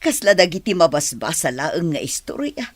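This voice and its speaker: A gentle older mother voiced by a woman speaks in a husky, slightly low voice with an Ilocano accent. The emotional radio-drama delivery moves freely up and down. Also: big pitch swings, moderate pace, no echo. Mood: moved, relieved. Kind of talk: dramatized Ilocano radio-style story acting.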